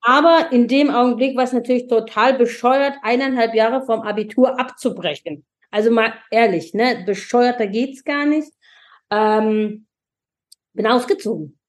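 A woman's voice: fairly high pitch, a little slow. A woman in her forties from Germany, a podcast guest telling her own story in an open, emotional way with many restarts. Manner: expressive, emotional, frequent restarts. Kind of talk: candid personal storytelling.

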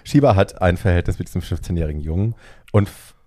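A man co-hosting a podcast, casually retelling a film's plot in a lively way and dropping in English phrases. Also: deep voice, fast talker, dry recording, studio quality. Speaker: podcast co-host. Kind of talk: casual chat retelling a film.

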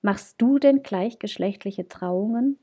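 A woman's voice: mid-range voice, takes it slowly, mellow, husky. A woman, hosting a podcast in casual, chatty talk, often laughing at herself. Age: 30s. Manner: chatty and laughing, self-mocking. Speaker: podcast host. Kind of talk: casual chat.

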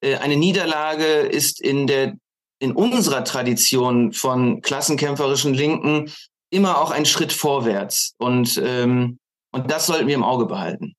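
A man speaking as a podcast guest, reflecting on politics in halting, deliberate phrases with emphatic lists. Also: medium pitch.

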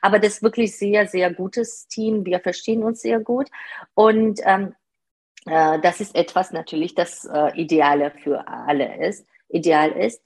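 A woman's voice: on the low side, fairly even intonation, measured pace, breathy edge. A woman in her thirties answering interview questions earnestly, hesitating and searching for words with many fillers. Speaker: podcast guest, part-time manager.

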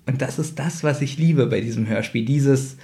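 A man's voice: medium pitch, normal speed, dark tone. A man in casual conversation as a podcast co-host, informal and interjecting, keen on small details. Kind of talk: casual chat.

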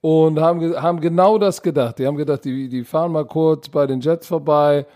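Male co-host, slightly high-pitched, excitable, slangy banter. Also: fairly quick. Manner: excitable, slangy, repeats words. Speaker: podcast co-host. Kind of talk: casual sports chat.

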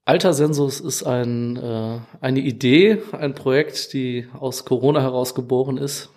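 A man's voice: mid-range voice, steady tone, a little slow, darkish tone. A man talking casually as a podcast guest, explaining things as a music educator. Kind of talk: casual interview talk.

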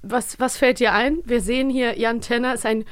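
A woman's voice: fairly high pitch, fairly even intonation, normal speed, smooth, dry recording. A woman hosting a podcast, chatting casually in a playful, teasing way. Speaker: podcast host. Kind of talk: casual chat.

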